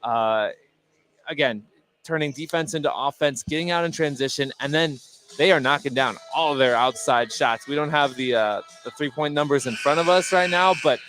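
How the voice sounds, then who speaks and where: A man's voice: a little high, normal speed, a bit bright. A man giving conversational color commentary at a live basketball game, repeating words for emphasis. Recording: faint gym noise behind. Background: sports gym ambience.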